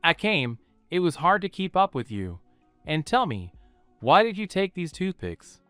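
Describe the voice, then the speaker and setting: A man's voice: a little high, sing-song, measured pace, bright, forceful tone, smooth, dry recording, soft background music, pitched above the performer's own voice, heavily acted. A man voicing a serious young boy protecting his sister in a spoken storybook, earnest and scolding. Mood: breathless.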